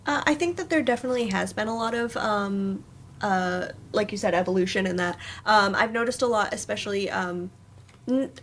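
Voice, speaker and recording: A woman giving her thoughts in a casual panel discussion, hesitant and halting as she searches for words. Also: medium pitch, a bit bright. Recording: noisy room ambience.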